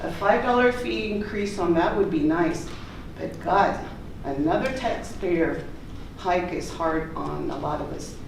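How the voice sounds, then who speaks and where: A woman with a loud voice giving informal public comment as a resident, plain-spoken and direct. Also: lowish voice, measured pace, far from the mic. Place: council chamber at city hall. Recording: echoey meeting room from afar, clear noise.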